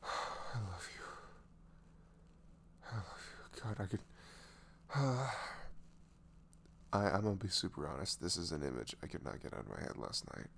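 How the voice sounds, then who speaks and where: A man playing a nervous, dorky best friend confessing love in an intimate ASMR roleplay, halting and stammering, with sighs and soft breathy moments. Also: low-pitched, big pitch swings, full character voice. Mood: overjoyed, tender. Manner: halting, stammering, sighing, breathy laughs, often dropping to whispers. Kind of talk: roleplay ASMR audio drama, intimate and conversational.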